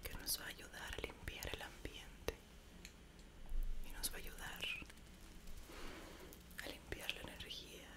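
A woman in her twenties speaks in a breathy, unvoiced whisper right up against the microphone. Her delivery is very slow and soothing, guiding the listener through an ASMR meditation with gentle instructions. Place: small bedroom, indoors. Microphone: desk condenser microphone, very close.

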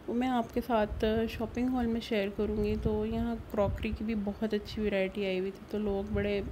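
A Pakistani woman vlogger with a soft-edged, mellow voice at a medium pitch. She chats casually through her narration, pausing on fillers as she goes. There is noticeable noise on the recording. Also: little pitch movement. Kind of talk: casual shopping vlog narration.

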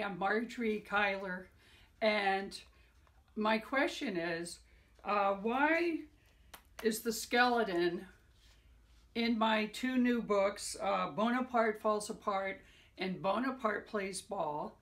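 A woman's voice: medium pitch, slow, fairly bright tone. An older woman, a children's author, casually asking kids a trivia question at home.

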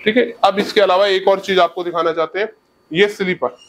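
A young man from India in a shoe showroom, giving a fast sales pitch on a lapel mic. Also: fairly even intonation, a bit bright. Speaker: shoe seller. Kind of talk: product showcase.

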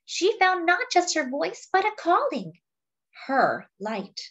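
A woman reading a picture book aloud, with expressive, dramatic phrasing. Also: extremely high pitch, slow pace, muffled recording. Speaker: storybook reader.

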